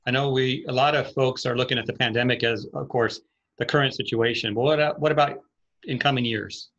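A man's voice: medium pitch, steady tone, fairly quick, a bit bright, muffled recording. A middle-aged man hosting an interview on a video call, asking questions in a conversational style. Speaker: interviewer, host. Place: small office, indoors.

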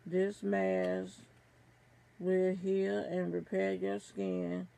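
A middle-aged woman reviewing beauty products, reading aloud haltingly from a card and stumbling over names. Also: mid-range voice, creaky, dark tone. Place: small room at home.

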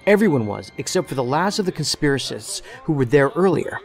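An actor playing a quirky, literal-minded, matter-of-fact investigator in an audio drama. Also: male voice, on the high side, very animated, fairly quick, creaky.

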